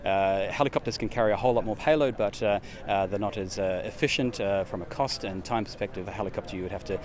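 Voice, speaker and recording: A man explains technical points in an interview, talking quickly in a lowish voice. Outdoor traffic noise is clearly heard behind him. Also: a little mellow. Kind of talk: unscripted news interview.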